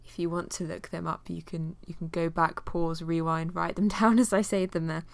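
A woman's voice: lowish voice, little pitch movement, normal speed, vocal fry, dry recording. A woman teaching an informal Bible study. She has a cold and sounds nasal, with many fillers as she reads passages aloud.

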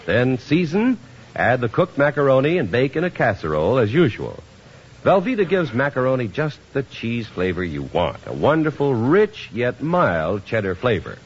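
A man's voice: medium pitch, sing-song, moderate pace, husky. A man giving a polished, persuasive commercial read as a 1950s radio announcer. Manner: polished, persuasive sales delivery. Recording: noisy, muffled 1950s radio recording.

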